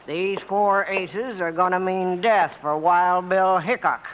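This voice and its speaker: A man's voice: sits high, fairly even intonation, takes it slowly. A man playing a short-tempered, menacing, scornful outlaw gang boss in a radio western. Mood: menacing, scheming. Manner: threatening, scornful, commanding. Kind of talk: 1950s radio western acting. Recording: old radio recording, faint noise.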